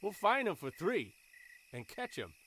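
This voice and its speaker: Man playing a high-voiced cocky young gang leader. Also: wildly swooping pitch, unhurried, sharp tone, slight background noise, exaggerated voice, rough recording. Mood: cocky, bargaining. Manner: streetwise tough-guy talk, swaggering. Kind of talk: audio drama acting.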